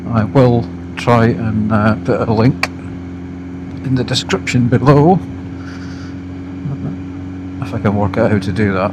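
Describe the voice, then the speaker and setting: A Scottish man giving a casual, unscripted product review as a motorbike vlogger, speaking haltingly in short phrases while riding outdoors. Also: on the low side. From Scotland. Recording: loud motorbike wind and engine noise.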